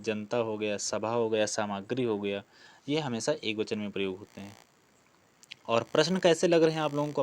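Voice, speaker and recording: A man teaching an online exam-prep class, reading out quiz questions and explaining the answers, often repeating key words. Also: on the low side. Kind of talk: online lesson, working through quiz questions.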